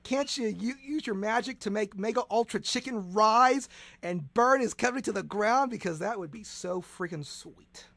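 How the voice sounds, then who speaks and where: A man hosting a comedic radio show, excitable and stammering through restarts, now and then shouting. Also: high-pitched, normal speed, creaky, dry recording.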